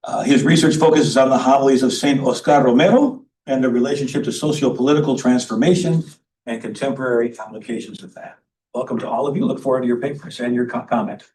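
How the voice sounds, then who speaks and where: A man's voice: mid-range voice, quick pace, a little mellow. A man chairing an academic conference session, informally welcoming people and introducing the panel. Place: conference room, recorded from a distance. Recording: roomy echo, distant mic, faint noise.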